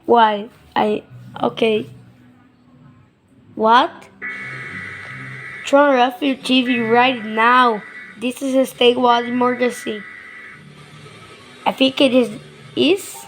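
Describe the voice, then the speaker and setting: A woman chatting casually along with a video, halting and a bit unsure. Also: on the high side, fairly even intonation, faint music behind. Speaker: video creator reacting. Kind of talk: casual play-along commentary.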